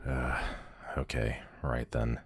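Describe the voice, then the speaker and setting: A man's voice: very deep voice, little pitch movement, slow, breathy edge, heavily acted. A man playing a powerful demon lord in an ASMR audio roleplay, pompous, condescending and theatrical. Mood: concerned, awkward. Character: haughty, powerful male demon lord, bored and amused.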